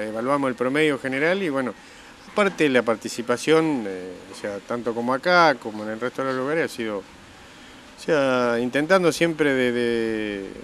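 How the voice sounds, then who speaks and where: A middle-aged man with an Argentine accent answers interview questions spontaneously. His pitch is average, and a handheld microphone catches a little ambient noise from the show. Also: fairly bright tone. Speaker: sheep breeder interviewee.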